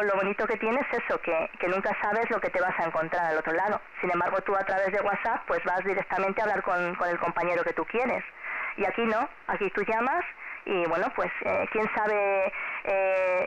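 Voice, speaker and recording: A middle-aged woman with a Spanish accent from Spain, chatting over amateur radio in a friendly, encouraging way and often repeating herself. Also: medium pitch. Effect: two-way shortwave radio transmission. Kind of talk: casual radio contact chat addressed to a child. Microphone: heard through a radio transceiver speaker. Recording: noisy, muffled amateur radio signal.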